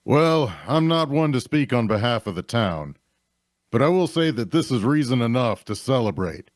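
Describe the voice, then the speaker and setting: The wide-eyed local official greeting adventurers in a fantasy audio drama, lively in pitch, closely recorded played by a man. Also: moderate pace, no echo, studio quality, close to an everyday voice. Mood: celebratory. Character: astonished male town official, plain-spoken and welcoming. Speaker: actor at a table read.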